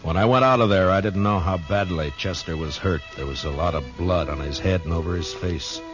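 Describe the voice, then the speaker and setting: Man playing a very deep-voiced Old West marshal. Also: brisk, over music, close to an everyday voice. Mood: grim, reflective. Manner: blunt, terse, level-headed. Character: stern, plain-spoken Old West marshal, male, steady and resolute. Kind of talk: 1950s radio drama acting and first-person narration. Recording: muffled vintage radio recording.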